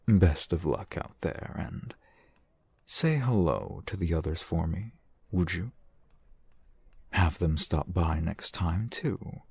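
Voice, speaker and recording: A man playing a genial, teasing, flirtatious fantasy shopkeeper in an ASMR roleplay, unhurried and wry. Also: expressive, a little mellow, no room sound, heavily acted. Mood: welcoming. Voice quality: low, gravelly, husky. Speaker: shopkeeper character in audio roleplay.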